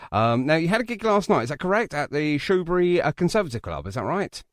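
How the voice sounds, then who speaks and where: A man's voice: a little high, very animated, fast, no echo, studio quality. A man hosting a casual radio-style interview, effusive and encouraging with his guest. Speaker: interview host.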